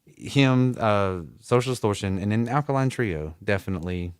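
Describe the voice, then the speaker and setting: A middle-aged man with a Southern American accent and a low voice, recorded close and dry in a music studio. He tells stories from his youth in an easy, rambling way and laughs often. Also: moderate pace, clean tone, dry recording. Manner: relaxed storytelling, laughs often. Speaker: musician guest. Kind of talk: casual interview.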